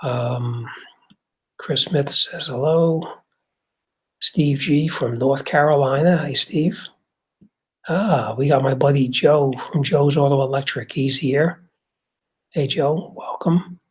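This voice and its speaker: A middle-aged man with a New Jersey accent chats casually on a livestream, answering car questions from viewers. His voice is a little husky, and he talks in an easygoing way full of fillers and restarts. His pitch sits in a normal range for a man. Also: fairly even intonation, takes it slowly, pauses often, rough recording. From Jersey City, New Jersey. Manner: conversational, reads chat comments aloud, frequent fillers and restarts. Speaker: host and auto mechanic answering viewer questions.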